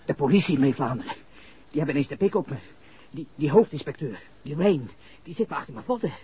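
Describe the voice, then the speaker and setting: A man's voice: a little high, animated, fast talker, mellow, slightly rough. An actor playing a nervous, shifty young man under police suspicion, stammering slangy lines in a 1960s radio drama. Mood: anxious, pleading. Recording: muffled vintage radio recording.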